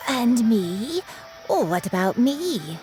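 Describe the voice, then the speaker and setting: A woman voicing a gentle, absent-minded granny in a video game, youthful-sounding, wistful and forgetful. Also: a little high, big pitch swings, extremely slow, soft background music, heavily acted. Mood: confused. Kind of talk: video game character voice acting.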